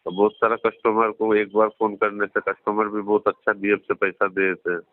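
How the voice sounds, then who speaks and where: A man working as a loan recovery agent talks deferentially on a phone call, explaining things in a halting, roundabout way. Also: fairly low pitch, level tone, no room sound. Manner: deferential, addresses the other as sir. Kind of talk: phone conversation. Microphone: phone line. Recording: dry recorded phone call, narrow band.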